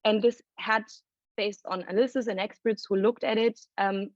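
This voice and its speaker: A woman presenting findings as a report author at a panel launch, explaining at length and thinking aloud with pauses. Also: mid-range voice, steady tone, no room sound. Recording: dry, dull lo-fi sound.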